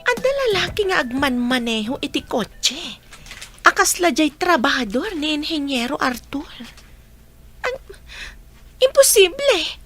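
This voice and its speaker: Here is a woman playing a young village woman full of doubt in an Ilocano radio play. Her very high voice moves unhurriedly, with animated swings in pitch. She muses hesitantly, as if to herself. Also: a little slow, soft background music, full character voice. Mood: doubtful. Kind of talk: Ilocano comedy radio drama acting.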